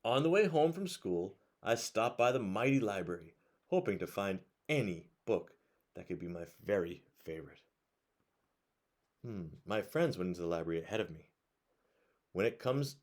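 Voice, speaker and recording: A man reading a storybook aloud to children in an expressive way, pausing to put questions to his young listeners. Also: fairly low pitch. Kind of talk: read-aloud storytelling to children, with conversational asides.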